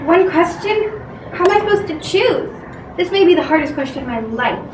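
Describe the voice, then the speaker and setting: A woman playing an excitable, earnest young girl adventurer in an amateur readers theatre folktale. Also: very high-pitched, normal speed, pitched above the performer's own voice. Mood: amazed, earnest. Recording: distant mic, echoey room, background noise.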